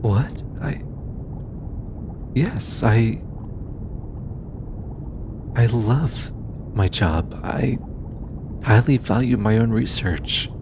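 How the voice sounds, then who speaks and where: A man voicing an anxious, earnest alien scientist in an intimate audio roleplay, hesitant and halting, as if easily flustered. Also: lively intonation, heavily acted. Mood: flustered, shy. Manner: hesitant, halting, stammering, sighing. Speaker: voice actor. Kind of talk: scripted audio roleplay, intimate one-sided dialogue. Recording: clearly noisy, low rumbling background.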